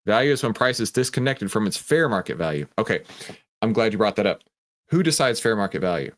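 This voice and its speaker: A man's voice: lowish voice, big pitch swings, quick pace, fairly bright tone. A man hosting a live stream in a casual, conversational way, explaining emphatically, posing questions and often checking in with a tag question. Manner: conversational, often tags statements with "right?"; emphatic, explanatory, poses questions and answers them. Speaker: host of a live stock trading stream. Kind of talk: casual live market commentary, chatting with viewers.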